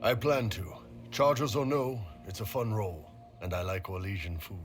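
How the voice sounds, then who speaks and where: A man playing a big, gruff, sardonic and loyal mercenary captain in a video game cutscene. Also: on the low side, lively intonation, normal speed, lightly acted. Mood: resolute, frank. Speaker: mercenary leader. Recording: music behind the voice.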